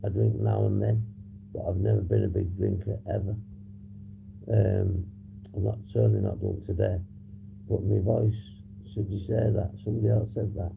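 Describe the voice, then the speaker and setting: A man hosting a casual livestream, hoarse and husky, rambling and swearing as he reads the chat. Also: lowish voice, little pitch movement, normal speed, long pauses, phone-quality sound. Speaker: livestream host. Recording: noisy background hum.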